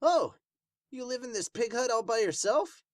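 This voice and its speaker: A man's voice: very high voice, expressive, moderate pace, dry recording, studio quality, pitched above the performer's own voice. A man voicing an incredulous, teasing male adventurer from a manga, exclamatory and questioning. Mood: surprised.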